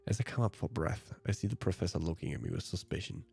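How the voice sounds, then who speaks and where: A man's voice: deep voice, fast talker, vocal fry, darkish tone, slightly rough, faint music behind. A man whose native language is German, hosting a let's play and reading visual novel narration aloud.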